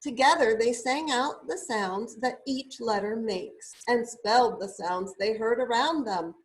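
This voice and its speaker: A woman reading a children's story aloud expressively, with deliberate, drawn-out emphasis for young listeners. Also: fairly high pitch, low-quality recording. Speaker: storybook reader.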